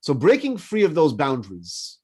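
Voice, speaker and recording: A man in his fifties whose first language is French gives a morning class. His voice rides a little high and swings up and down, and he works through personal stories and questions he asks back to his listeners. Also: normal speed, breathy edge. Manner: animated teaching with personal stories and repeated questions. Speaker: rabbi.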